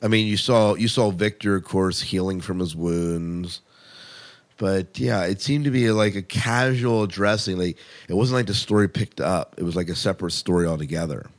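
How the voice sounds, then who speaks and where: A man's voice: low-pitched, normal speed. A man hosting a podcast, chatting casually about television in an informal, rambling, opinionated way. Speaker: podcast host. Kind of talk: casual TV review chat.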